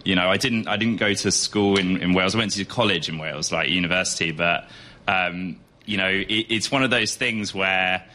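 A man's voice: low-pitched, normal speed, bright, forceful tone. A man, a tech show host, chatting as a guest with lots of restarts and easy laughter. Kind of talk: casual chat.

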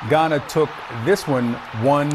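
Brisk male news anchor, slightly high. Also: noisy background. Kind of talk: news interview and sports news reading.

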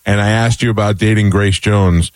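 Low-voiced, rambling male radio host. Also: steady tone, normal speed, darkish tone, dry recording. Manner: rambling, self-deprecating, stumbling over words. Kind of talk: morning radio interview.

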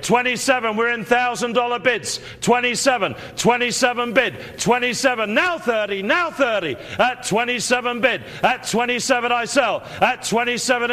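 A man hosting a televised art auction as auctioneer, calling bids in a rapid, repetitive chant and urging buyers on. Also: very high-pitched, bright, forceful tone.

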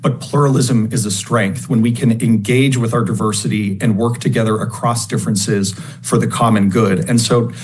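A man representing an interfaith organization, speaking on a panel in a measured, explanatory way. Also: on the low side, steady tone, a little mellow. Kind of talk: panel discussion remarks.

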